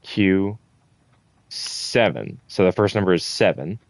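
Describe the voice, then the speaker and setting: A man's voice: low-pitched, takes it slowly, dry recording. A man giving casual gameplay commentary, thinking aloud and muttering to himself with the odd swear word. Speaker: gamer recording a playthrough.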